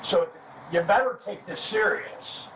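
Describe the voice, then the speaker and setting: A male police officer with a high, bright voice lectures sternly and bluntly. The bodycam audio is echoey and distant, with faint noise around him. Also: unhurried. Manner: blunt, scolding.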